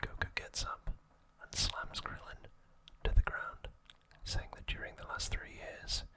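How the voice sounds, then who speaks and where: Guy doing asmr, whispers the whole time, really slow soft recap in little measured phrases. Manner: whispers slowly and softly in short, measured phrases. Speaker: ASMR channel host.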